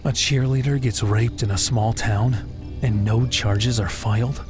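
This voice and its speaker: A man's voice: medium pitch, steady tone, a little slow, over music, lightly acted. A man acting a blunt, protective young man in a dramatized audiobook, terse and insistent. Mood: grim.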